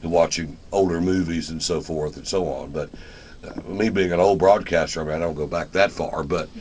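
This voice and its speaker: Low-pitched radio host, folksy man. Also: steady tone, fairly quick, a little mellow, slight background noise. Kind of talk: casual live radio interview.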